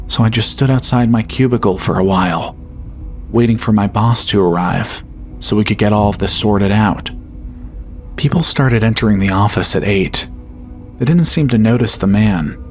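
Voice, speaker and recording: Male horror narrator, lower register, slow and steady first-person storytelling.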